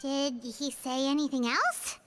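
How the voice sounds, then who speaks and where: A woman voicing a small, excitable, childlike flying fairy companion in a video game. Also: sits high, measured pace, music in the background. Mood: curious. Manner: refers to self in third person, exclamatory.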